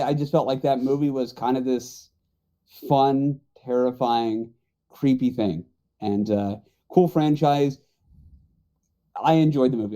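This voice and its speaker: A man's voice: medium pitch, warm tone, muffled recording. A middle-aged man chatting casually on a podcast panel about movies.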